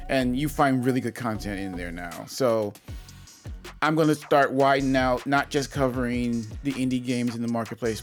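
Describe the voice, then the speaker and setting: A man hosting a gaming channel, giving a casual, rambling update and then interviewing game developers. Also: medium pitch. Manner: rambling, upbeat, many restarts.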